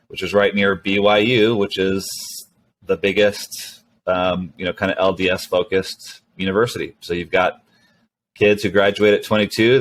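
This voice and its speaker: A man's voice: sits low, slight background noise. A middle-aged man, a startup co-founder and CEO whose first language is Spanish, talking as a guest in a casual interview with plenty of fillers and restarts. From Venezuela, raised mostly in California. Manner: relaxed, rambling with many fillers and restarts.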